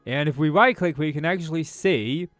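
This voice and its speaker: A man's voice: fairly high pitch, moderate pace, a bit bright, no echo, studio quality, soft background music. A British man commentating casually on a strategy game he is playing, thinking aloud, his voice a little off after a throat infection. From Britain. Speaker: gaming YouTuber. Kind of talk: casual let's-play commentary.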